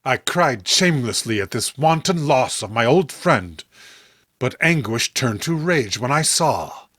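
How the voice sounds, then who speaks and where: Male horror storyteller: dramatic, grave, unhurried narration, close dry sound. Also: mid-range voice, expressive, a bit bright, dry recording.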